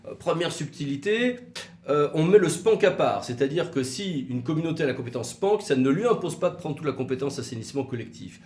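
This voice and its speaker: A man presents a legal explainer in a lively, varied voice. He is didactic, leaning on key words and asking rhetorical questions. The sound is roomy and echoey. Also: on the high side, fairly quick. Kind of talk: explanatory legal video talk, semi-scripted.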